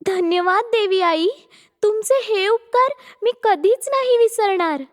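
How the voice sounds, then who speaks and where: A woman's voice: very high voice, measured pace, in a large hall, pitched above the performer's own voice. A woman voicing a kind, generous young daughter-in-law in a cartoon moral story, earnest and sweet. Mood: grateful.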